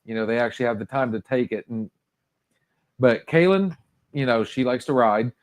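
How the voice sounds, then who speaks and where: A man's voice: mid-range voice, normal speed. A man in his forties co-hosting a podcast, chatting casually and rambling with frequent restarts. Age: 40s. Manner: rambling, with many restarts and fillers. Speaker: podcast co-host. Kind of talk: casual chat.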